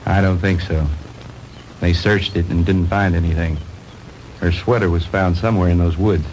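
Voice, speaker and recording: An actor playing a calm, matter-of-fact man investigating a disappearance in a 1960s B-movie. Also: low voice, moderate pace, lightly acted. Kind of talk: 1960s B-movie film acting. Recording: noisy old 1960s film soundtrack.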